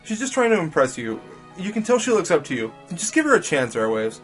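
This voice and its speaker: A man's voice: on the high side, sing-song, a little fast, fairly bright tone, faint music behind. A man playing an easygoing, friendly pony colleague in an audio drama, reassuring and supportive.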